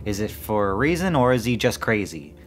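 A young man co-hosting a comic book podcast, talking in a relaxed way with plenty of "you know" fillers. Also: lowish voice, fairly quick, faint music behind. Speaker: podcast co-host. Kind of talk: casual comic book discussion. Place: small room full of collectibles. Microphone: studio mic on desk stand, headphones on.

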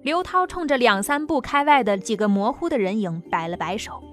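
A woman reads a dramatic audiobook story in a fairly high voice. Soft music plays underneath her scripted narration. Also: animated, fairly quick.

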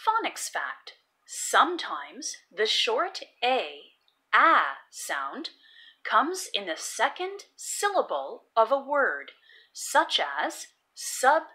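Woman, children's read-aloud host, bright forceful tone, very slow delivery, emphatic word-by-word enunciation, expressive storytelling. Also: a little high, rough recording. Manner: emphatic, enunciating words for young learners.

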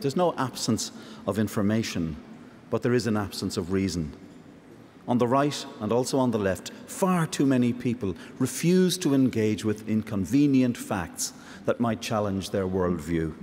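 A middle-aged Irish man giving an earnest, measured acceptance speech at a podium in a large ceremony hall. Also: mid-range voice, a little mellow. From Ireland. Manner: measured, earnest, rising to passionate emphasis. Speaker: award recipient, journalist. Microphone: podium microphone. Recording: long hall reverb, faint noise.

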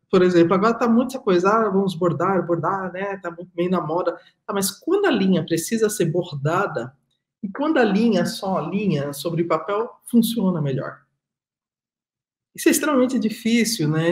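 A middle-aged woman speaks thoughtfully on a webcam from a room at home. She leans hard on key phrases and repeats them for emphasis. Her pitch sits in an ordinary middle range. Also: darkish tone. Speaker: artist. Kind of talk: panel discussion over video call. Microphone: video call webcam.

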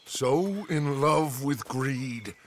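An actor playing a charismatic, grandiose middle-aged outlaw boss in a western game, with an American accent and an oratorical, deliberate delivery. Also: male voice, medium pitch, animated, a little hiss or hum. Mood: sardonic, theatrical. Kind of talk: dramatic western game acting.

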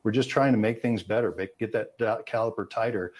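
Husky older American man, expert commentator. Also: fairly low pitch, a little fast. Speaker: aviation safety analyst. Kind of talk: expert commentary in a news interview. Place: indoor room on a video call. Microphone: video call.

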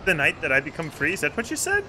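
A man's voice: on the high side, wildly swooping pitch, quick pace, crowd noise. A man in casual multiplayer game chat, playfully and theatrically role-playing as a pirate captain. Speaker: gaming streamer playing the ship's captain. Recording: game sounds and noise behind voice. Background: video game sounds.

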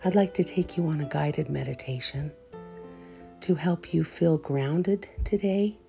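A woman leading a guided meditation in a soft, soothing, unhurried voice that turns breathy at the ends of phrases. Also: sits low. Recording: meditation music behind voice. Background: soft meditation music.

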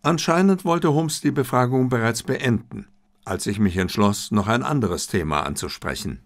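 A man narrating a first-person story from a script in a measured, literary manner. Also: medium pitch, lively intonation. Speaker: audiobook narrator.

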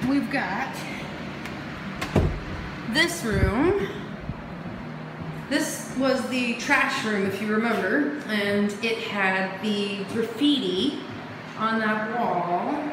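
A young woman, chatting excitedly as she films a walkthrough of a house she is renovating, speaking into her phone. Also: on the high side, very slow, long pauses, noisy background. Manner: chatty, excitable, jumps between topics. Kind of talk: casual walkthrough vlog. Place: empty house and yard, indoors and outdoors. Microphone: phone held while filming.

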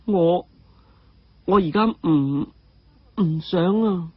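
A man's voice: high voice, very slow, husky, no room sound, dull audio, exaggerated voice. A man voicing a bashful young woman in a story, hesitant and coyly scolding.

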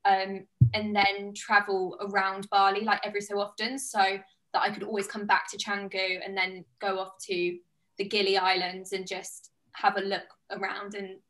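A woman's voice: medium pitch, flat delivery, moderate pace, fairly bright tone, low-quality recording. A woman in her twenties from the United Kingdom, a fitness coach chatting enthusiastically on a video call. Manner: chatty, enthusiastic, gestures with hands. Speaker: guest, online fitness coach. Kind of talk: casual video-call chat. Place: small plain-walled room indoors.

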